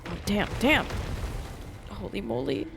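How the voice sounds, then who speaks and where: A woman reacts casually to a game on her live stream. Her voice is high and very lively, excitable and giggly, in unhurried bursts over faint music. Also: creaky, noisy background. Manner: excitable, giggly, salty-mouthed.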